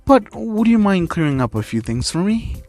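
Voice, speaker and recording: A man's voice: high voice, sing-song, normal speed, a little mellow, faint music behind, voice pushed up. Man playing a polite, inquisitive principal. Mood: politely probing. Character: polite inquisitive male school principal creature.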